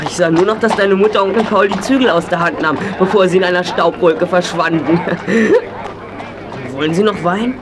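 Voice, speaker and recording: A young actor playing an excitable, helpful boy in a German children's audio drama. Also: high-pitched, normal speed, background noise, natural voice. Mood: cheerful.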